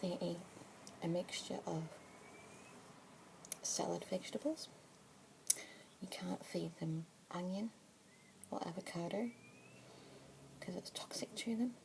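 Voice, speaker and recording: A young Australian woman whispers close to the microphone in a soft, breathy ASMR roleplay, her low voice catching into a gravelly fry. Gentle murmured fillers come between calm explanations, and a steady hiss sits clearly under the recording. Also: slow, long pauses. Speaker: ASMR creator playing a pet adoption specialist.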